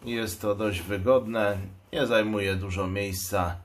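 Slow-paced man presenting a product. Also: fairly low pitch, fairly even intonation. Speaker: product reviewer and channel host. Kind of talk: unboxing commentary. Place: indoors at a table. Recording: clear background noise, crinkling packaging. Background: noise, plastic packaging crinkles and clicks.

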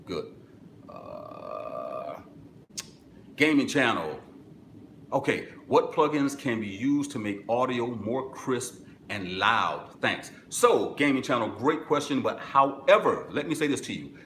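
Middle-aged male host with a deep voice, blunt and instructive, live-streaming from an echoey office on a camera mic. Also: very animated, slow pace, gravelly, a bit bright, a little hiss or hum. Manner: direct, instructive, answers viewer comments. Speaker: music business webinar host. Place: small office with corkboard, indoors.

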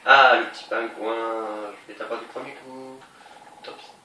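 A man's voice: medium pitch, steady tone, unhurried, bright, forceful tone. A man with a slight cold giving chatty let's play commentary, thinking aloud and talking to his viewers. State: a bit congested with a cold. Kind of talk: casual game commentary. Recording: distant mic in a roomy space with noise.

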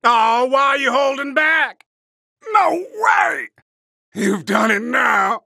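A male actor playing a vicious, cocky wolf-man poison fighter in a video game, taunting in a harsh, gravelly voice. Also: very high-pitched, animated, slow, no room sound, studio quality, full character voice. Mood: agitated, aggressive. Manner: cocky, sneering, shouted battle taunts. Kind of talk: video game battle voice lines.

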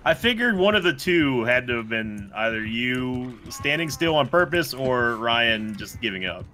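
A man's voice: mid-range voice, big pitch swings, measured pace, sharp tone. A man from Canada chatting casually and dryly while gaming with friends. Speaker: gamer in a group play session. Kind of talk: casual chat over gameplay. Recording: noisy voice chat with clicks.

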